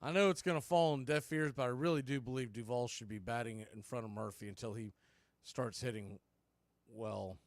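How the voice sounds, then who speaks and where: A man doing live baseball play-by-play, mixing clipped pitch calls with conversational asides about other games. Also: fairly even intonation, moderate pace, no echo. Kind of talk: live sports play-by-play commentary with scoreboard asides.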